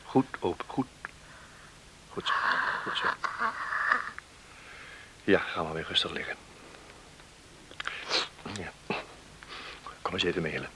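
An actor playing a calm, grave village doctor in a Dutch radio drama, measured and reassuring. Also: male voice, low-pitched, heavily acted. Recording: hissy old radio drama recording.

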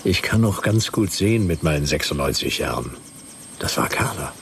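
An actor playing a laconic hardboiled detective who narrates a radio play in a dry, clipped, gravelly delivery. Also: male voice, very deep voice, lively intonation, moderate pace, noisy background, full character voice.

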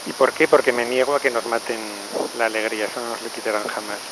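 A man's voice: mid-range voice, fairly even intonation, fast, noisy background. A man with an accent from Spain calling a radio show with an earnest activist declaration, using rhetorical questions and repetition. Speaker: radio phone-in caller.

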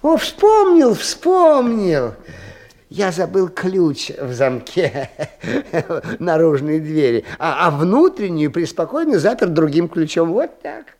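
An actor playing a fussy, absent-minded chemistry teacher in a radio play, muttering, stammering and repeating himself in a husky voice. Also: male voice, fairly high pitch, sing-song, slow pace, darkish tone, full character voice. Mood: triumphant.

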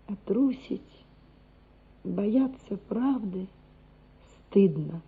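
Earnest mother, very husky woman's voice, slow moral exhortation, radio drama, phone-like narrow sound. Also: medium pitch, exaggerated voice. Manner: emphatic, measured moral exhortation. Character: earnest loving mother lecturing her small son.

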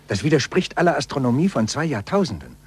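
Awed doubter, really husky low voice, swooping intonation, old radio play. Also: male voice, big pitch swings, quick pace, a little mellow. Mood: disbelieving. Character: awed, doubting male friend of a scientist. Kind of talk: 1940s radio acting.

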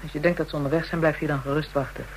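A woman's voice: sits low, steady tone, racing, slight background noise, natural voice, low-quality recording. An actress playing a coarse, brazen, spiteful call girl in a radio drama, talking in crude, taunting slang. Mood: taunting, grudging.

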